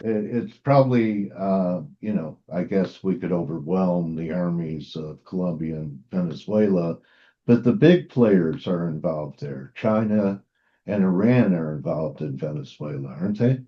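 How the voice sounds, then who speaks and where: A man's voice: low voice, a little slow, lo-fi sound. A man hosting an interview, asking casual questions in a tentative, roundabout way. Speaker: interviewer, host.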